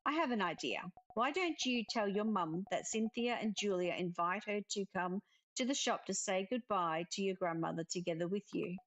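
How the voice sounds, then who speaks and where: A woman reading the part of a gentle, caring young witch in a cozy visual novel. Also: mid-range voice, animated, moderate pace, no room sound, soft background music. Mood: encouraging. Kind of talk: visual novel character read aloud.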